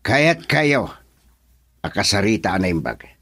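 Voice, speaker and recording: A man's voice: lowish voice, very animated, takes it slowly, creaky, a bit bright, breathy edge, exaggerated voice. An actor with an Ilocano accent playing a stern, proud middle-aged father in a radio drama. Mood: measured, serious.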